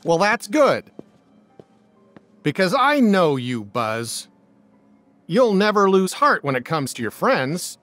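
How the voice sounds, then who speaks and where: A man playing a friendly cowboy doll who leads the other toys, reassuring and take-charge, in an animated game. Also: high voice, takes it slowly, long pauses, soft background music, heavily acted.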